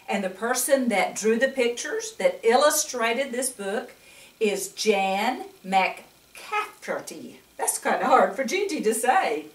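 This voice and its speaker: An older woman with a fairly high, very animated voice reads a children's picture book in an echoey room. She talks to her young listeners in a grandmotherly manner, breaking off for coaxing questions and chatty asides. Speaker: storyteller reading to children. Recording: echoey room, distant mic.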